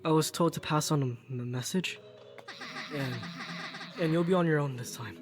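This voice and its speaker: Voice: mid-range voice, natural voice. An actor doing a stammering, nervous young villager. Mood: hesitant. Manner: halting stutter, hesitant. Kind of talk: video game voice acting. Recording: loud game music behind.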